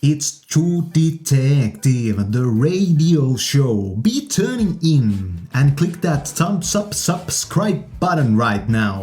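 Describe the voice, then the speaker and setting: Man acting as hammy radio-show announcer: raised pitch, drawling, half-sung promo delivery, showy. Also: mellow, full character voice. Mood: showy, playful.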